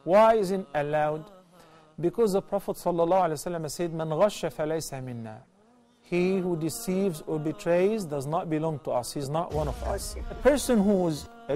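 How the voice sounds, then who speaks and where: A man's voice: high-pitched, brisk, darkish tone, soft background music. A man answering questions on religious rulings as a scholar.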